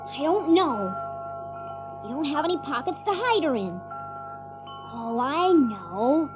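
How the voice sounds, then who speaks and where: Eager, bold child adventurer for audio drama, very high voice, unhurried pace, theatrical. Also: full character voice.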